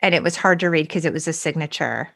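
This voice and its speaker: A woman's voice: sits low, quick pace, creaky, a bit bright. A middle-aged woman co-hosting a podcast, chatting casually and recounting researched facts like a storyteller. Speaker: podcast co-host. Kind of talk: casual chat.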